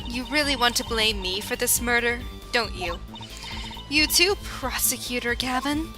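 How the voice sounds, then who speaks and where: A woman's voice: a little high, expressive, a little slow, over music. A woman voicing a cool, defensive young female witness in a fan-voiced game.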